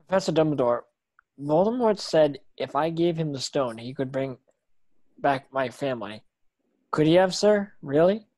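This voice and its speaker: A man's voice: a little high, lively intonation, normal speed, darkish tone, no room sound, close to an everyday voice. Male actor as schoolboy wizard, earnest, curious, questioning, dry close sound, fantasy table read. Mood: hopeful, earnest.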